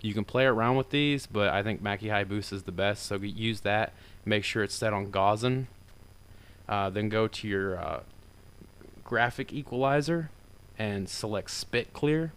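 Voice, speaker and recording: A man with a deeper voice talking through a software tutorial in a loose, rambling way, full of fillers. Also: normal speed, smooth, poor audio. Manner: rambling and informal, with many fillers. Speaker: tutorial maker. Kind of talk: casual screen-recorded software tutorial.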